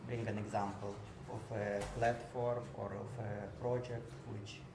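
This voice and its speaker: Monotone male panel moderator. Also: lowish voice, measured pace, gravelly. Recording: echoey room, loud noise.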